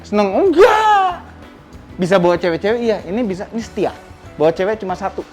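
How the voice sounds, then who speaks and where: Casual vlog host, male, Indonesian accent. High voice, swooping intonation, teasing and clipped delivery. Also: sing-song, brisk, faint music behind. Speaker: car channel host. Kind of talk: casual chat, showing cars and questioning a guest.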